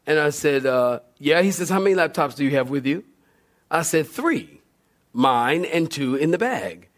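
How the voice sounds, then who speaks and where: Higher-voiced pastor telling a story. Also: male voice, normal speed. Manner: animated, humorous, self-deprecating. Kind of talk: sermon storytelling with re-enacted dialogue. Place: church, recorded live.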